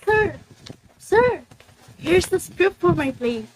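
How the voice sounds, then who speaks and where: A woman acts out a respectful, keen playwright in an amateur play. The voice is extremely high, with slight background noise. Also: lively intonation, takes it slowly, full character voice. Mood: eager. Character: eager, respectful female playwright. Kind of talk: amateur one-act play acting. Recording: faint noise, uneven mic distance.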